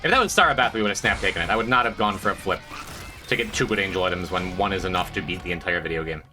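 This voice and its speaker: A man's voice: racing, sharp tone, faint music behind. A man streaming a video game, rambling to his chat in a self-mocking way with a stuffed-up, congested voice. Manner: rambling, self-mocking, breaks into high squeaky jokes. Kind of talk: casual gameplay commentary to chat.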